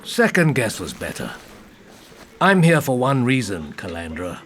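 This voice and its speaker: An actor playing a gruff, jaded, hard-drinking senior detective in an audio drama, blunt and terse. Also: male voice, mid-range voice, wildly swooping pitch, measured pace, a bit bright, slight background noise, exaggerated voice. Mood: terse, probing. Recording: music behind the voice.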